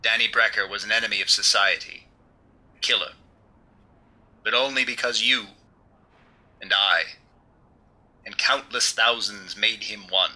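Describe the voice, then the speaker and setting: Man acting a mysterious avenger, very sharp forceful voice, slow deliberate pacing, commanding, ominous, solemn, old-time radio thriller. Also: lowish voice, heavily acted, poor audio. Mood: grave. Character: commanding, ominous mysterious male crime-fighter. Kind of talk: 1930s radio drama acting.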